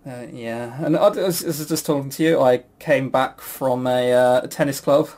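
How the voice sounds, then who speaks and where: A man's voice: medium pitch, moderate pace, darkish tone. A man co-hosting a podcast in casual banter, rambling and jokey, making fun of himself and swearing casually. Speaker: podcast co-host and quizmaster.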